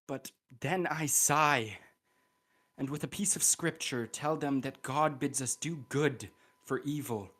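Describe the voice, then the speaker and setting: A man's voice: medium pitch, slow pace, fairly bright tone. A young male student actor playing a scheming, bitter royal brother in a Shakespearean radio play. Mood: sly, gloating. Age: young adult.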